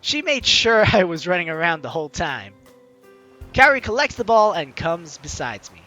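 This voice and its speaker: A man's voice: a little high, sing-song, moderate pace, sharp tone, dull audio, soft background music. A man hosting a game playthrough, reading the text aloud and breaking off into playful, teasing, exclamatory commentary for his audience. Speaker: let's-play host.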